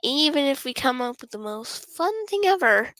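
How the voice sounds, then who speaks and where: A woman voicing a bubbly, earnest teenage schoolgirl in a visual novel, animated and teasing. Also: sits high, normal speed, dry recording.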